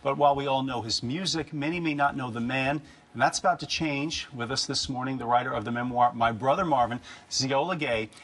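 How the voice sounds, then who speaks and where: A man's voice: medium pitch, a little fast, a bit bright, husky. An American man with a mid-range voice hosts a morning TV show. He speaks in an enthusiastic, emphatic broadcast interview style from a studio.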